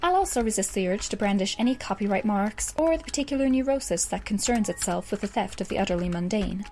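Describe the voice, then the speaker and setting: A wry, articulate teenage girl voiced by a woman in an audio drama monologue. She speaks in an ordinary female register, dry and wordy. Soft music sits underneath. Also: medium pitch, lively intonation, quick pace, close to an everyday voice. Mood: dry, grave. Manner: verbose, dry, sardonic. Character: wry, erudite teenage girl writing a doomsday game walkthrough.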